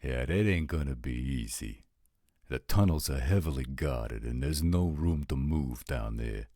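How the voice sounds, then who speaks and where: A man's voice: extremely low pitch, animated, a little slow, warm tone, no room sound, clean studio sound, full character voice. A man playing a blunt, roguish supernatural scout who talks in casual street slang, in an audio roleplay drama. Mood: blunt, matter-of-fact.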